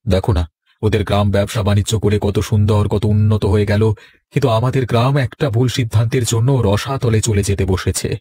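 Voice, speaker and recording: A man's voice: lowish voice, level tone, fast talker, dark tone, breathy edge, no echo, studio quality. A man voicing a kindly, worried older village landlord in a cartoon, earnest and paternal. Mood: doubtful, concerned. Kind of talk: Bengali fairy-tale cartoon dialogue.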